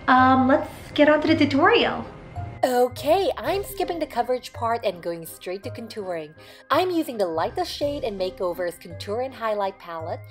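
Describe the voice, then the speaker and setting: A young woman from Indonesia with a hoarse voice, talking through a makeup tutorial step by step in a chatty, instructive voiceover. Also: a little high. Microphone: close voiceover mic.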